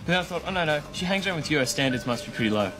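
A man's voice: fairly high pitch, lively intonation, very fast, over music, lightly acted. A man playing a snide, sarcastic young guy, dry and mocking.

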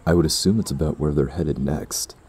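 An extremely deep male guardian, formal and measured in his words. His voice is very rough and gravelly, as in an audio roleplay drama. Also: mellow, heavily acted. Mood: polite, businesslike.

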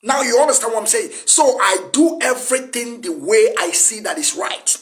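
Nigerian man, 40s, Igbo first language, high-pitched, emphatic political speech to camera in a small room. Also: expressive, normal speed, fairly bright tone. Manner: emphatic, lecturing, gesturing a lot. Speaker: activist commentator.

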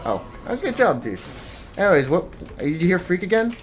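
A man's voice: sits high, very animated, quick pace, soft background music. A man chatting casually and teasingly in slang as he plays an online team game. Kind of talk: casual gaming banter.

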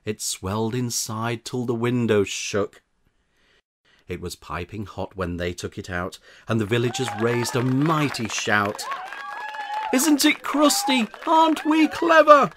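A man narrating a children's storybook in verse, with theatrical, measured delivery. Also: fairly low pitch, noisy background. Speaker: audiobook narrator.

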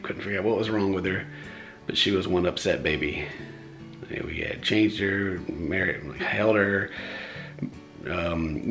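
A young man and father chatting casually about a rough night, rambling and self-deprecating, filmed on a handheld camera at arm's length at home. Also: a bit bright. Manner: rambling and self-deprecating, shifts into high baby talk. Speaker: vlogger, father. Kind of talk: casual family vlog chat. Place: home interior, bedroom and living room.

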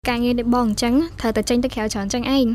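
A young woman journalist in a radio drama, played by an actress. Her voice is high and her talk very rapid. She comes across as reserved but helpful. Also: little pitch movement, a little mellow, close to an everyday voice. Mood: casual, chatty.

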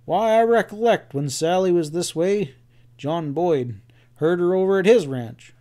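A kindly rancher who is out of his depth talks in a folksy rural drawl, gruff but reassuring, as a man reads him. The voice sits a little high for a man. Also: unhurried, dark tone.

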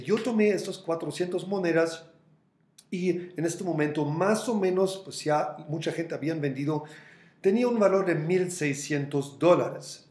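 A middle-aged man whose first language is German, hosting a crypto channel and explaining with lively hand gestures to camera in a small room. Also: a little high. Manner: animated, gestures with both hands while explaining. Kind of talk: explanatory video talk to camera. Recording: echoey room.

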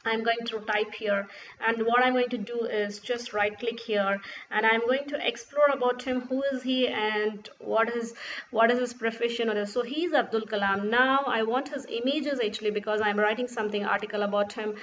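A woman whose first language is Urdu, walking through a screen-recorded software tutorial step by step with fillers and restarts. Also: fairly high pitch, steady tone, moderate pace. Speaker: tutorial presenter. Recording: dull lo-fi sound with faint noise.

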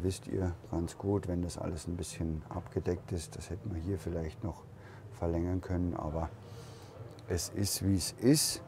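A man giving a relaxed, unhurried walkaround tour of a camper van, thinking aloud as he goes, recorded inside the van at a trade fair hall. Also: sits low, a little hiss or hum.

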